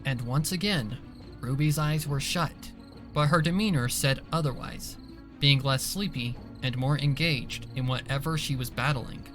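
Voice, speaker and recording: A man's voice: medium pitch, measured pace. A man reading scripted dramatic narration for a fan fiction story. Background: soft music behind the voice.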